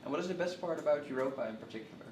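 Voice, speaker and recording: A man asking short interview questions in a brisk way. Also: fairly high pitch, expressive. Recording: roomy, distant mic, faint noise.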